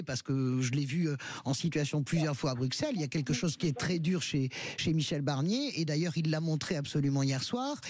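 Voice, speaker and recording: A woman's voice: on the low side, a little mellow, no echo. A woman giving emphatic, blunt and colloquial political commentary as a panelist on a radio show. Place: radio studio.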